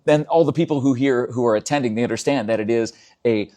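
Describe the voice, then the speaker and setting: A man's voice: mid-range voice, fast, mellow. A man hosting an interview show, talking in an enthusiastic, emphatic, chatty way, as if promoting a local event.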